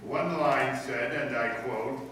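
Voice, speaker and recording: A man playing a stern, pompous, middle-aged high school principal with formal diction in old-time radio comedy style. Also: slow, a bit bright. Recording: echoey room from far off, faint noise.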